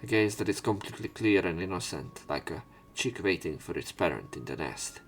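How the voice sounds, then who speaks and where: A man with a fairly low voice hosts a let's play of a visual novel. He reads the story text aloud and mixes in his own casual, unpolished commentary. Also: expressive, a little fast, faint music behind. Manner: informal, swearing in reactions. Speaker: let's player.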